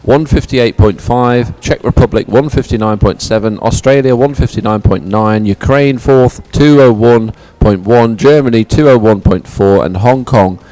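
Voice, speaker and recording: A man's voice: medium pitch, normal speed, hardly any pauses, warm tone, low-quality recording. A man co-hosting live race commentary and reading out results, talking in an enthusiastic, wordy way. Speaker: sports commentator, co-host.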